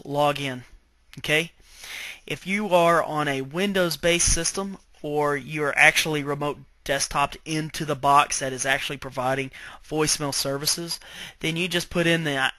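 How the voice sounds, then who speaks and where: Male tech trainer, slightly high bright voice, dry close sound, informal step-by-step screen walkthrough. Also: no echo. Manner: step-by-step explaining, checking in with okay. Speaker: IT trainer presenting software tutorial.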